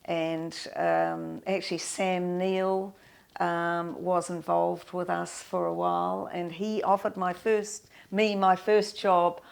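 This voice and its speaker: An older woman, reminiscing in an interview in a halting, thoughtful way with many pauses. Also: lowish voice, flat delivery, vocal fry, clean tone. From New Zealand. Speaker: guest, pianist and composer.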